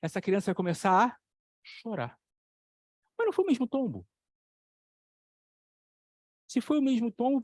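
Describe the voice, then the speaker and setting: A man talking as a psychoanalytic lecturer, telling stories with lively examples and repeating key phrases for emphasis. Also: fairly high pitch, no echo. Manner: animated storytelling, acting out voices in the examples. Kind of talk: explanatory talk on a video channel.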